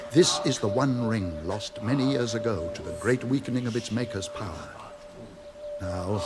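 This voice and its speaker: An actor playing a grave old wizard in a radio drama, solemn and portentous in delivery. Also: male voice, fairly low pitch, lightly acted. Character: grave old wizard warning of dark power. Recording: loud eerie music behind the voice.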